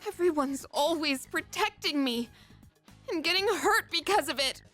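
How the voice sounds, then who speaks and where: A woman's voice: high-pitched, animated, normal speed, fairly bright tone, soft background music. A woman voicing a spirited, protective teenage girl fighter in an anime video game, forthright and emotional. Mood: frustrated, determined.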